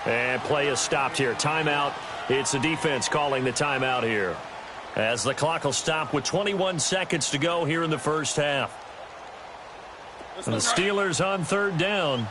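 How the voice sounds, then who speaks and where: An actor playing a polished American play-by-play announcer calling football in a video game, emphatic on big plays. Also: male voice, a little high, unhurried, close to an everyday voice. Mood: steady, professional. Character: upbeat male American football play-by-play broadcaster. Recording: noisy game stream with stadium ambience.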